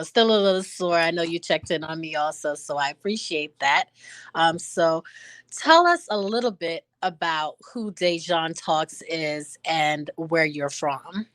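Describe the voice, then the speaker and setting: A woman host speaks slowly and deliberately in a lowish voice, thanking her guest and filling gaps with "you know". The sound is dry and close, with no room in it. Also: dry recording. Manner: warmly appreciative, with many "you know" fillers. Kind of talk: interview hosting.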